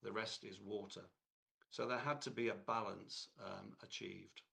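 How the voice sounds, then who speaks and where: A man with a cold, hoarse, giving a technical lecture in a halting way with many fillers and restarts. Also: on the low side, steady tone, bright, forceful tone.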